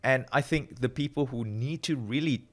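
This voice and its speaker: A man in his thirties from Malaysia, with a Malaysian-British accent that carries Midlands traces, speaking as a guest researcher in a reflective, animated way. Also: mid-range voice, normal speed. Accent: Malaysian-British English with Midlands traces. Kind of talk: conversational interview.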